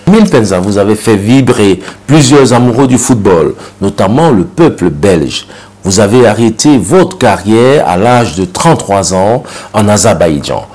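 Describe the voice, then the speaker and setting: A man's voice: on the low side, animated, unhurried, a little mellow. A man hosting a special interview show, asking formal questions in an announcer-like way. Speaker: TV show host.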